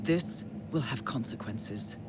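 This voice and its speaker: A woman's voice: very deep voice, lively intonation, heavy background noise, heavily acted. An actress voicing a composed, authoritative female intelligence handler in a video game, speaking formally and precisely. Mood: grave. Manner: formal, measured, articulate. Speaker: mission handler. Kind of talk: scripted video game dialogue. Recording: dramatic music behind the voice. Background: loud game soundtrack music.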